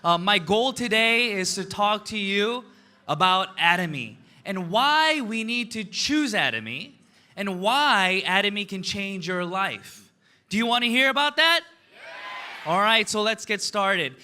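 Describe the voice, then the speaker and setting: High, bright-voiced energetic male speaker. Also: measured pace. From United States. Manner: asks the crowd questions, repeats "right?" and "okay?". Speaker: motivational speaker. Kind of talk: energetic stage talk to an audience.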